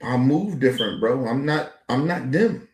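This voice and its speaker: A man in his forties holds forth as a podcast host, rambling and circling back on himself in profane street slang. His voice sits at an ordinary middle pitch and carries a noticeable echo from the room around him. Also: expressive, normal speed. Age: 40s. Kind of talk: casual monologue to camera.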